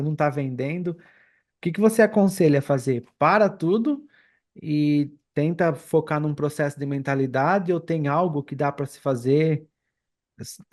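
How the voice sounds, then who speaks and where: A Brazilian man with a slightly high voice co-hosts a podcast. He asks his questions in a casual, chatty way. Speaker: podcast co-host asking questions. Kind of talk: casual chat.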